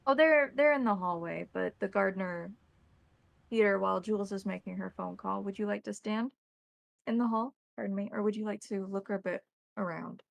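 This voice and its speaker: A woman running a tabletop roleplaying game as game master, narrating in a measured, deliberate way. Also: mid-range voice, creaky, dry recording.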